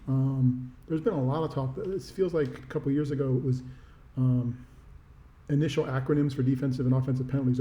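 A man co-hosting a sports podcast, giving reflective, measured opinions in casual talk with stammered restarts, recorded in an echoey room. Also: medium pitch, warm tone. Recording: muffled, echoey room sound.

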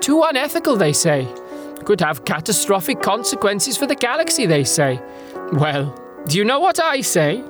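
A woman's voice: medium pitch, very animated, moderate pace, over music, close to an everyday voice. Woman playing a flamboyant, smug mad scientist. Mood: grandiose ranting. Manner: grandiose, mock-courteous. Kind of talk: sci-fi audio drama acting.

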